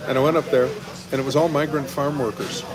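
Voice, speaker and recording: A man's voice: mid-range voice, fairly even intonation, fast, rough recording. A middle-aged man, a comedian, telling anecdotes casually and often restarting his phrases. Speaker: stand-up comedian guest. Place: restaurant interior. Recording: busy venue with crowd and background bustle.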